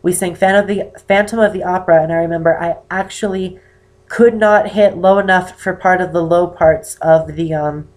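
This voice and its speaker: An eighteen-year-old man with an unusually high-pitched voice and damaged vocal cords, talking casually to camera and rambling with many ums. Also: steady tone, normal speed. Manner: rambling, with many "um"s and self-corrections. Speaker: vlogger. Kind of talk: casual personal talk to camera. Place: indoor room with poor lighting.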